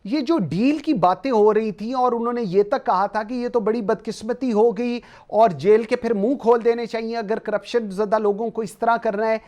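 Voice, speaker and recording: A woman's voice: fairly high pitch, normal speed, few pauses, darkish tone. A woman with a Pakistani accent presenting a political talk show and putting questions to guests.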